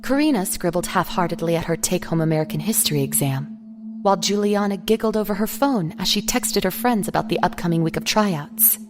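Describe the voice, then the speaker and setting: Low-voiced woman narrating a story. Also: lively intonation, a little fast.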